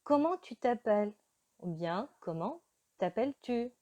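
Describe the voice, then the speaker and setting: Woman teacher, slow and mellow, patient beginner lesson with pauses. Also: on the high side, animated. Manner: slow, careful teaching delivery with frequent hesitations. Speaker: French teacher.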